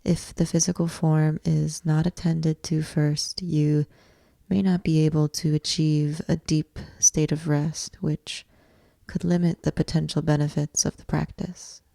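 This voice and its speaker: A woman leads a guided meditation with a low-key, even delivery that barely moves in pitch. Her voice is soft-edged and mellow, with a creaky fry in it. She gives each instruction deliberately and leaves space after it. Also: no echo. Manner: measured, deliberate phrasing with long pauses. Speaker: yoga nidra teacher guiding a meditation.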